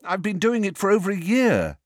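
A man's voice: high-pitched, wildly swooping pitch, a little fast, no room sound, studio quality, pitched above the performer's own voice. A man voicing an impatient, defensive fifteen-year-old schoolboy wizard, speaking in an urgent, insistent way.

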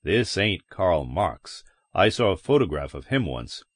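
A relaxed, good-humored grocer in a storybook, as a male narrator voices him. He speaks casually with lively pitch, recorded dry. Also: unhurried, dry recording, full character voice. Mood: easygoing. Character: easygoing small-town grocer.

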